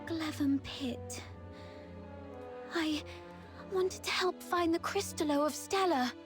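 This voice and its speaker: High-pitched, meek young girl. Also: slow pace, music in the background. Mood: timid, apologetic. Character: meek, obedient young girl, apologetic and sweet. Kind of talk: video game voice acting.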